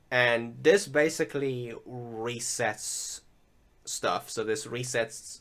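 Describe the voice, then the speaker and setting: A man's voice: on the low side, background noise. A man giving a casual, informal voice-over commentary on gameplay, rambling and hesitant, with some swearing. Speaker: game video commentator. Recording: loud music behind the voice. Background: loud music.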